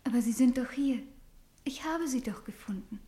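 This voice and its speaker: A woman acts out a dreamy young woman in a radio drama. Her voice is high yet soft-edged and dark in tone, with a hushed, breathless intensity and a fearful temper. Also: moderate pace, lightly acted. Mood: wondering, doubtful. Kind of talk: German radio play acting.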